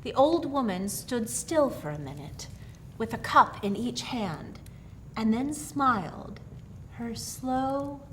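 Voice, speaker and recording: High, slow, expressive woman storyteller. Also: a little hiss or hum, poor audio. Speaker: story reader.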